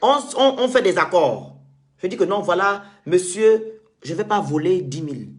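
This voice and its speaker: A man's voice: high voice, expressive, moderate pace. A man hosting a call-in debate, talking in an insistent way and repeating words as he makes his points. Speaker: show host.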